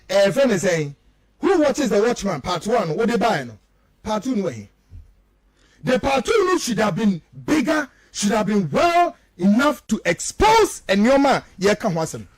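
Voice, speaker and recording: Ghanaian guy arguing politics on radio, swingy high pitch, really emphatic, repeats himself, mixes languages. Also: sing-song, unhurried, dry recording. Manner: emphatic, repetitive, rhetorical. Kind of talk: animated political commentary mixing two languages.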